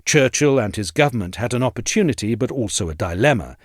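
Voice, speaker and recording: A man reading a scripted historical essay in a measured, articulate manner. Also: medium pitch, expressive, dry recording, studio quality.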